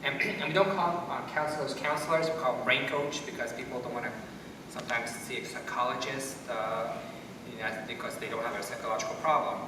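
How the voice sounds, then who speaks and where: A middle-aged man explaining things as a doctor on a panel, with halting, repetitive phrasing. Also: medium pitch, steady tone. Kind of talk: panel discussion, explanatory talk. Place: school theater stage at a panel table. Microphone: distant from the speaker. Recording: echoey hall, distant mic, background noise.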